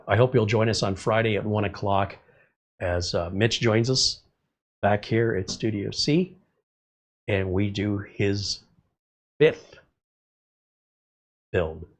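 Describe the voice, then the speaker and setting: Stuffy-nosed middle-aged guy hosting a live stream, lower voice, rambly chat with viewers. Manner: rambling, chatty, reads viewer comments aloud. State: slightly congested. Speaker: livestream host. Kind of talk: casual livestream chat.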